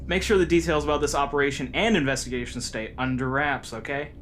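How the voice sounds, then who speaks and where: A man playing a stern, secretive military commander, speaking with clipped, deliberate authority in a sci-fi drama. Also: fairly high pitch, fairly even intonation, fairly bright tone, slight background noise, lightly acted. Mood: guarded, conspiratorial. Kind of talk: dramatic sci-fi audio acting.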